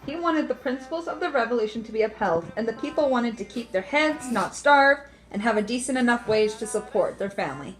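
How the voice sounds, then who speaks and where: A woman's voice: fairly high pitch, lively intonation, a little fast, few pauses, faint music behind, low-quality recording. A woman reading scripted history narration as the narrator of a puppet show.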